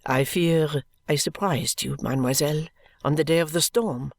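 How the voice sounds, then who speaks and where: A woman voicing an intense, proud French lady's maid with a French accent, speaking in a low, earnest, subdued way. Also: moderate pace, no echo. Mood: cool, polite.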